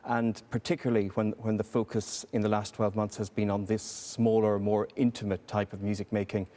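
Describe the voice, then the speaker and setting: Mellow, flat-voiced man. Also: lowish voice, brisk. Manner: hesitant, repeats words. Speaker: jury member, hall director. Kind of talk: interview chat.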